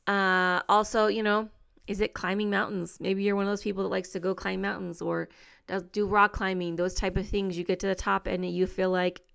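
Smooth, gravelly-edged woman podcast host. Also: mid-range voice, little pitch movement, normal speed. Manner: warm, earnest, upbeat encouragement with many fillers. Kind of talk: encouraging solo podcast talk.

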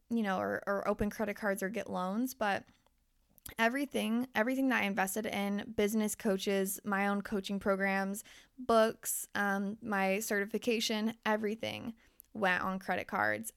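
A woman's voice: mid-range voice, fairly bright tone, clean tone, no echo, studio quality. A young woman podcast host and life coach who is losing her voice. She talks candidly and swears freely as she speaks straight to her listeners. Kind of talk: casual motivational podcast monologue.

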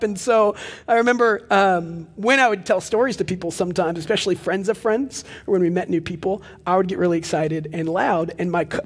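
A young man preaching a sermon as pastor of a church, with a loud, projecting voice and animated storytelling asides. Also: high voice, husky, long reverb tail. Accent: Texan. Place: large church hall with live audience.

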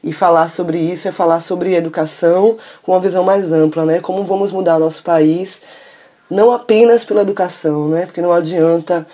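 A middle-aged woman from Salvador, a filmmaker presenting her documentary in a measured, informal way, often adding questioning tags. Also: fairly low pitch, fairly even intonation, mellow. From Salvador, Bahia, Brazil. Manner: measured, tags sentences with questioning asides, thoughtful pauses.

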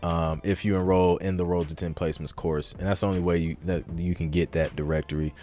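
A man's voice: low voice, little pitch movement, fast talker, mellow. A man hosting a live question-and-answer podcast as a music producer, talking in an informal, slangy, rambling conversational way. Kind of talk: casual live Q&A chat.

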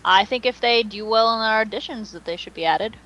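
A woman's voice: on the high side, a little fast, fairly bright tone, highs cut off. A woman co-hosting a casual fan podcast, talking in a joking, playfully dramatic way. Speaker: podcast co-host.

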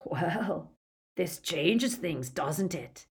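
A woman voicing a brutal, greedy male werewolf gang leader who growls, rasps and snarls his lines. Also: lowish voice, lively intonation, drawn-out words, a little hiss or hum, heavily acted. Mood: menacing soft. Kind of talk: audiobook character voice.

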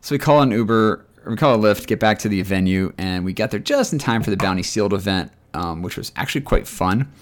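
Low, scratchy-voiced man telling stories. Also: lively intonation, fairly quick, few pauses. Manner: rambling, chatty storytelling. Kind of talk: casual chat.

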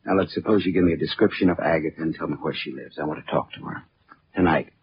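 An American actor playing a wry, tough private detective in a 1940s radio drama, terse and deadpan. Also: male voice, rumbling low, fast talker. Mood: terse, probing. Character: wry, tough male private detective narrating his case. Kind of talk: 1940s radio drama acting, hardboiled narration. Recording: dull old 1940s radio, music behind.

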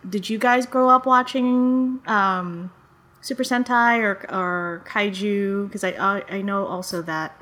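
A woman born in the Philippines hosts the interview. She asks friendly questions and keeps the guests going with quiet murmurs of assent. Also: mid-range voice, a little slow. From Philippines, born in Quezon City. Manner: attentive, frequent affirming murmurs.

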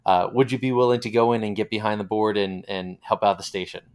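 A man's voice: on the low side, fairly even intonation, quick pace, clean tone. A man interviewing a guest in a conversational style as the host.

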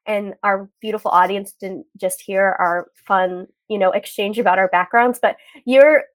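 A woman's voice: medium pitch, fairly even intonation, normal speed, dry recording. A woman co-hosting a podcast and interviewing a guest, chatty and upbeat, recording from home over a video call. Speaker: podcast co-host.

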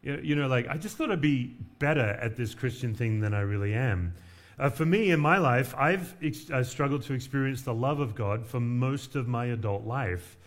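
A man's voice: medium pitch, lively intonation, moderate pace. A man from Western Australia preaching as a guest pastor, conversational with light humor and frequent fillers. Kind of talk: sermon.

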